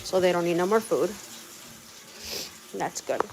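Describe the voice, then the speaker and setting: A woman's voice: lowish voice, animated, measured pace, background noise. A woman from Puerto Rico chatting with her live viewers while doing chores outdoors with her animals. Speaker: livestream host.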